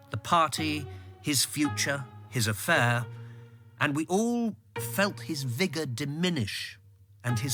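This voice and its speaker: A man acting a loyal political insider who narrates a radio drama in retrospect, in a measured storytelling manner. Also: medium pitch, bright, forceful tone.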